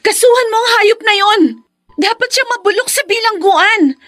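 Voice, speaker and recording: Very high-pitched, outspoken loyal friend. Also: female voice, normal speed, fairly bright tone, soft background music. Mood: indignant. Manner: emphatic, scolding. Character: indignant, loyal female friend, shrill and outspoken. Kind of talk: Filipino radio drama acting.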